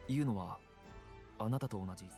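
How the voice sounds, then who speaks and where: A man's voice: lowish voice, very fast, dark tone, close to an everyday voice. A man voicing an earnest young warrior in a video game, plain and direct in his questioning. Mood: insistent, confused. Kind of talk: Japanese video game voice acting. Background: video game music.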